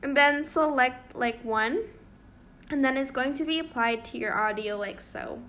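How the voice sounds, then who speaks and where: A woman's voice: sits high, a little slow, a bit bright, slight background noise. A young woman hosting a casual app tutorial, informal, giggly and a little hesitant, talking over a screen recording. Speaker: tutorial channel host.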